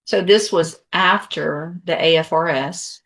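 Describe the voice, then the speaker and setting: A woman's voice: fairly low pitch, takes it slowly, fairly bright tone. A woman judge presiding in a courtroom, giving rulings and putting questions to lawyers and witnesses.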